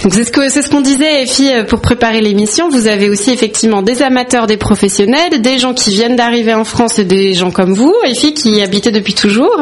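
A woman's voice: on the high side, quick pace, hardly any pauses. A woman hosting a radio show, interviewing guests conversationally with attentive questions and acknowledgements.

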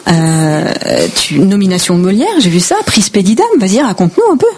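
A woman's voice: medium pitch, sing-song, brisk, a little mellow. A woman chatting informally as an interviewer, with encouraging little interjections. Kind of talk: casual interview chat. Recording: clear background noise, room ambience.

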